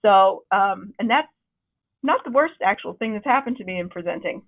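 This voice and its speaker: A woman leading a webinar on presenting, with a loud, projecting voice that is starting to wear out, talking in a conversational, encouraging way with frequent ums. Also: mid-range voice, lively intonation, moderate pace, vocal fry. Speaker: presentation trainer. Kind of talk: webinar talk. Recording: narrow, phone-like webinar audio.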